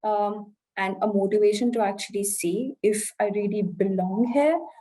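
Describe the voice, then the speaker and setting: A woman in her twenties from India, with an Indian English accent softened by life in the UK, speaking earnestly and reflectively as an interview guest, with fillers and restarts. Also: medium pitch, moderate pace, poor audio. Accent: Indian English, softened by years in the UK. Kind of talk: candid conversational interview.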